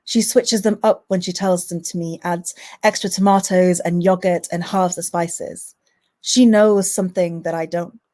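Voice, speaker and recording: A woman's voice: lowish voice, fairly even intonation, normal speed, dark tone. A woman, a poet who chats hesitantly with lots of "um"s between pieces, then reads her own poetry with impassioned rhythm. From Manchester, England. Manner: hesitant, filler-heavy chat between poems. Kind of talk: spoken word poetry reading with chat to an online audience.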